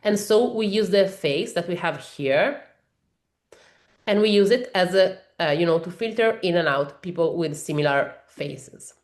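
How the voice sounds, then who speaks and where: Woman, Italian accent, webinar presenter; lowish voice, explains step by step with many pauses, echoey room. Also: lively intonation. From Italy. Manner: explanatory, many fillers and restarts. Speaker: software trainer. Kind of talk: webinar demo walkthrough.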